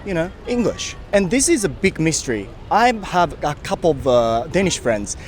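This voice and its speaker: Animated man interviewing on a beach. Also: fairly high pitch, moderate pace, a little hiss or hum. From Japan. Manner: lively, animated questioning. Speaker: street interviewer. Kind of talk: casual street interview. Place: sunny beachfront promenade outdoors. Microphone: handheld mic.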